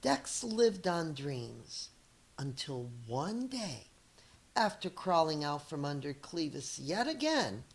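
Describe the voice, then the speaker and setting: A middle-aged woman, a teacher reading a children's picture book aloud in a deliberate, expressive storytelling manner with chatty asides. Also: low-pitched.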